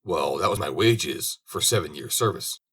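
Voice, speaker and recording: A man reading as a naive young storybook hero with a lively, swinging pitch. He is cheerful, trusting and easily delighted. His earnest exclamations come in a slightly husky voice. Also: moderate pace, exaggerated voice.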